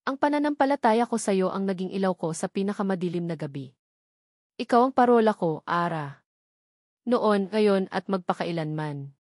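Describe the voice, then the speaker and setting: A woman's voice: medium pitch, lively intonation, normal speed, a little mellow, no echo, clean studio sound, close to an everyday voice. Woman voicing a firm, devoted Filipino groom. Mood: earnest, devoted. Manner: firm, earnest. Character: steadfast, devoted adult male groom in a love story.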